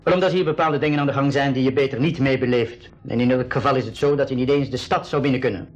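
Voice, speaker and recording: An actor playing a courteous, firm army lieutenant in a school radio drama, speaking formally and insistently. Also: male voice, medium pitch, steady tone, quick pace, muffled recording, natural voice. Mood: grave, insistent. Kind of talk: 1980s Dutch-language school radio drama acting.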